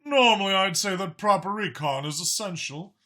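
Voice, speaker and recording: Man voicing a calculating dragon spymaster, lively and bright. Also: high voice, moderate pace. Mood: commanding. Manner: articulate, formal, matter-of-fact about killing. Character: calculating, mild-mannered male dragon spymaster, ruthless in war. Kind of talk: audiobook character dialogue.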